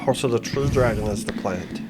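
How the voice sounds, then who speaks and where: A woman bantering casually as a player in a tabletop role-playing game, with loud music over the voice. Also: extremely low pitch, fairly quick.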